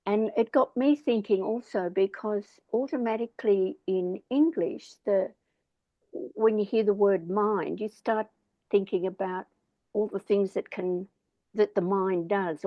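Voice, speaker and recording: A woman's voice: medium pitch, dry recording, narrow, tinny audio. A woman in a casual discussion, talking in a thoughtful, hesitant, rambling way. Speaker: discussion participant.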